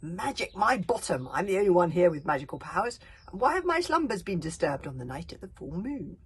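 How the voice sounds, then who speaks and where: A woman voicing a boastful, loud male barbarian chieftain in a storybook reading, bellowing and pompous. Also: fairly low pitch, very animated, brisk, a little hiss or hum. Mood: furious.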